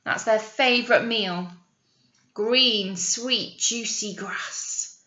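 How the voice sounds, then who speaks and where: A woman's voice: on the high side, extremely slow, pushed and bright. A British woman, a teacher, telling a story to young children expressively, with coaxing questions and asides, on a slightly dull recording.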